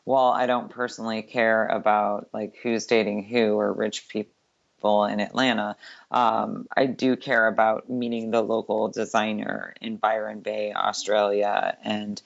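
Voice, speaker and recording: Deep-voiced woman guest, gravelly edge, chatty and quick, dull lo-fi recording. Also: flat delivery. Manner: chatty, quick, with many filler words. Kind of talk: casual interview chat. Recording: dull lo-fi sound.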